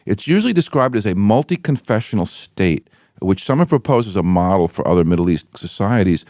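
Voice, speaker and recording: Lively low-voiced radio host man. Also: no echo, studio quality. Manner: measured, reads prepared questions. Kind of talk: scripted introduction and interview questions.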